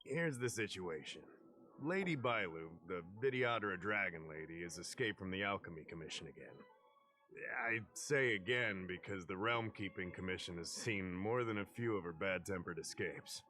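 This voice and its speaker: A man playing a courteous, knowledgeable fantasy official in a video game, explaining things in a measured way. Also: deep voice, lively intonation, pushed and bright. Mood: patient, explanatory.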